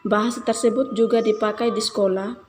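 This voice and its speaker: A young woman student reading a class presentation in an even manner, with a few restarts. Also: on the high side, brisk, soft background music. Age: young adult.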